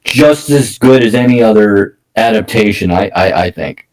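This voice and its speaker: A man co-hosting a casual film discussion podcast, talking in a halting, enthusiastic fan's manner. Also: fairly low pitch, darkish tone, recorded from a distance, poor audio. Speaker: podcast co-host.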